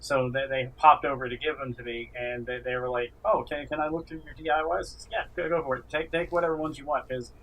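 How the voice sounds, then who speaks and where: A man with a mid-range voice co-hosts a casual gaming podcast. He speaks haltingly, often doubling back on words before he finishes a thought. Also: medium pitch, fairly even intonation, fairly bright tone, low-quality recording. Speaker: podcast co-host.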